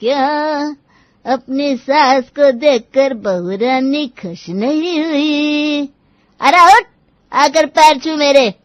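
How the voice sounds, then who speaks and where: A woman playing a stern, sharp-tongued old village grandmother-in-law who scolds and commands in a cartoon story. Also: high voice, extremely slow, no echo. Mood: mocking, bossy. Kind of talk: acted dialogue in a cartoon moral story.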